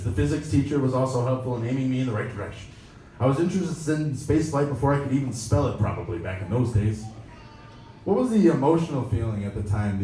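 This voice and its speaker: A man's voice: mid-range voice, mellow. Man reading aloud in a very echoey room. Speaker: editor giving a reading. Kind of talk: live reading of an interview with casual asides. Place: indoor live pop-up event at a venue. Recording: echoey, noisy room, distant mic.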